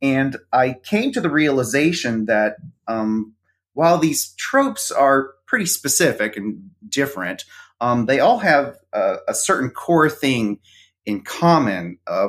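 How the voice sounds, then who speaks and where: A middle-aged man of medium pitch, chatting as a guest on a podcast. He talks in a loose, hesitant way, pausing often on ums and uhs as he gathers his thoughts. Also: big pitch swings. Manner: hesitant, many fillers. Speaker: podcast co-host, interview guest. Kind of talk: casual conversation.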